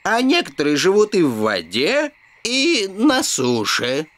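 A man's voice: high-pitched, huge pitch swings, a little slow, very noisy, heavily acted. A man voicing a patient, jolly old elf teacher in a cartoon dub, with an emphatic, instructive way of talking. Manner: didactic, chuckling, emphatic.

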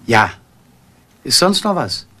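A man acting the part of a curt, guarded middle-aged professor in a German crime radio drama, with a husky voice. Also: medium pitch, big pitch swings, slow pace, sharp tone, slight background noise, heavily acted.

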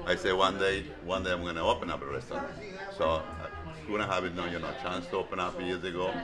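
Low-voiced man with an Ecuadorian accent. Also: steady tone, fairly quick. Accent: Ecuadorian Spanish. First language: Spanish. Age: 50s. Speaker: restaurant owner, interview guest. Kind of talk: casual storytelling in an interview. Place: restaurant dining room. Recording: loud music behind the voice.

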